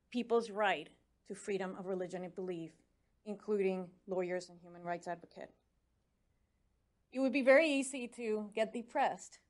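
A woman with a Spanish accent, her first language, delivers a prepared speech at a mid pitch. She speaks earnestly, urging her listeners to keep going, and now and then trips over foreign names. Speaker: commissioner on religious freedom.

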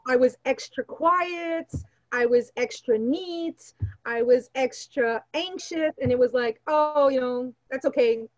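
Female podcast guest, Portuguese mother tongue, high pitch, candid conversational delivery, slightly muffled mic. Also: slow pace. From Canada. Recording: roomy, slightly dull remote microphone.

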